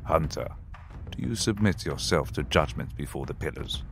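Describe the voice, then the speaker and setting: Extremely deep, gravelly dragon lord. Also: male voice, expressive, normal speed, soft background music, exaggerated voice. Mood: solemn, commanding. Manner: commanding, formal proclamations. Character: imperious, impatient male dragon lord presiding as judge. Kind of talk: fantasy audio drama acting.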